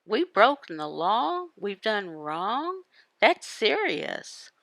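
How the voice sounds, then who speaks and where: A woman giving a devotional Bible teaching in emphatic, halting phrases, asking questions and answering them. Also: mid-range voice, big pitch swings, a bit bright, no echo. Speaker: voice interpreter for a signed devotional. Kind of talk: devotional Bible teaching, voiced from sign language.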